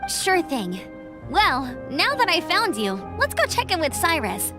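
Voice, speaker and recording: A bright-spirited young female scout from a fantasy game, talks in a high voice with lively swings. She is eager, friendly and helpful, with music behind her. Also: full character voice. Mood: cheerful, helpful. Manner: bubbly, brisk, friendly. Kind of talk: video game voice acting.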